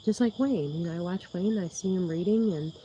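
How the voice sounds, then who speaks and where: A woman's voice: fairly low pitch, a little fast, mellow, lo-fi sound, slight background noise. A woman hosting a livestream in casual, rambling chat, with a soothing voice, laughing often and losing her train of thought. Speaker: YouTube livestream host.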